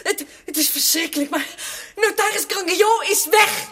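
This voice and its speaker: A very high, sharp-voiced housekeeper, pious and easily scandalised. She frets and stammers in agitated radio-play style. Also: moderate pace. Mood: distraught. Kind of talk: Dutch radio drama acting.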